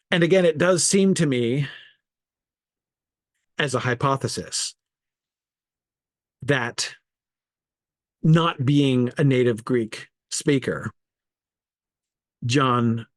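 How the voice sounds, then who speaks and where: A man's voice: mid-range voice, no echo. A man with a mid-range voice talks through ideas like a teacher in an informal online study group. He hesitates, corrects himself and leans hard on key words, checking in as he goes. The sound is dry, with very little room in it. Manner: hesitant, self-correcting, thinking aloud. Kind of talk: informal lecture with discussion of viewer comments.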